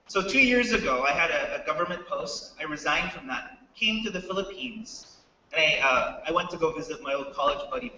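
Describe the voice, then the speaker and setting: A man's voice: fairly high pitch, sharp tone, lo-fi sound, slight background noise. An American man giving a casual startup talk to students, asking the audience questions. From United States. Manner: chatty, asks the audience questions. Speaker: startup co-founder speaker. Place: hall with a live audience. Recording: echoey hall, mic from far off.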